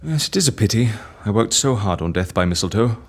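An actor playing an excitable, scheming man in a comedy audio drama, with a theatrical, musing and breezily unconcerned delivery. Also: lowish voice, brisk, slightly rough. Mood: musing, breezy. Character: excitable scheming man running a death-faking agency.

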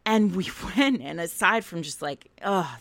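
An American woman co-hosting a podcast in casual chat, telling stories in an animated, digressive way. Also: lowish voice, unhurried, no echo. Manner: animated, digressive storytelling with frequent laughter. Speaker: podcast co-host.

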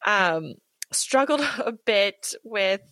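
A woman's voice: medium pitch, lively intonation, drawn-out words, a bit bright, no echo. Podcast host, woman, 30s, eager and chatty, close dry mic. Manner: chatty, enthusiastic, lots of fillers. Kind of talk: casual podcast chat and interview. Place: Portland, Oregon, remote call.

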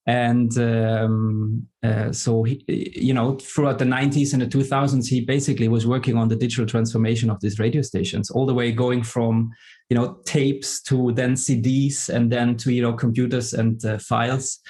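Middle-aged guy on a podcast, lots of uhs and restarts, warm dark tone. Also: mid-range voice, flat delivery, moderate pace. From Germany. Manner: hesitant, many fillers and restarts. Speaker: guest, tech executive. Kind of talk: casual podcast interview.

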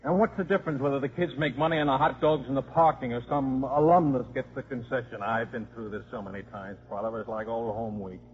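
A man's voice: medium pitch, steady tone, brisk, few pauses, natural voice. An actor playing a cynical, combative American football coach and father, slangy and sardonic, in a 1950s radio drama. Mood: bitter, resigned. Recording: dull, noisy 1950s radio recording.

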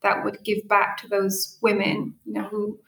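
A woman's voice: medium pitch, completely flat delivery, unhurried, creaky, a bit bright, roomy sound, low-quality recording. A woman from Eritrea and Ethiopia, a coffee brand founder, telling her story earnestly in an interview with frequent fillers. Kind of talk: interview answers.

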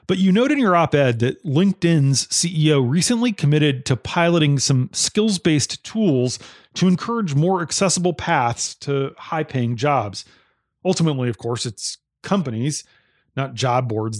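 A man's voice: medium pitch, no echo, studio quality. A man hosting an interview, putting prepared questions in an articulate, inquisitive manner. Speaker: interview host.

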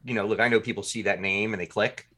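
Low-voiced man chatting in an interview. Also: fairly even intonation, quick pace, crowd noise. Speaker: podcast writer-producer being interviewed. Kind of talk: casual interview chat.

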